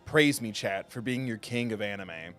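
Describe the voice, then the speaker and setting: A man's voice: fairly low pitch, animated, normal speed, fairly bright tone. Joking man chatting on a livestream, music behind. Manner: self-deprecating, joking. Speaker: video game livestream host. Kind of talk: casual rambling livestream chat with viewers.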